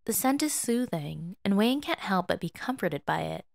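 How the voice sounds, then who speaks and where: A woman reading fiction aloud as a podfic narrator in an expressive, scripted storytelling style. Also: mid-range voice, moderate pace, vocal fry, no echo, studio quality.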